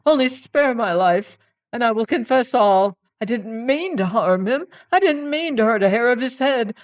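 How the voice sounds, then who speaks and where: A reader voicing a terrified guilty male clerk who pleads in a frantic, high-strung way. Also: moderate pace, lightly acted. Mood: begging.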